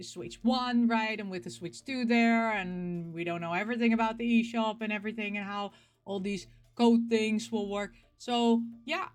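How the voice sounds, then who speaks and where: A woman hosting a casual video about games, talking in an expressive, rambling way and repeating words for emphasis. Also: on the high side. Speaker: host of a game collecting show. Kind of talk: casual chat, showing game pick-ups.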